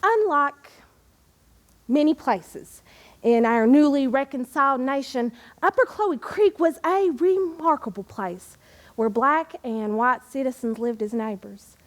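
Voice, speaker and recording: Woman playing a high-voiced, slow-speaking nostalgic poet of yesteryear. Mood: proud, storytelling. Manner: dramatic, deliberate, expressive delivery with long pauses. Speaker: historical reenactor reciting poetry. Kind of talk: theatrical first-person living-history monologue with verse recitation.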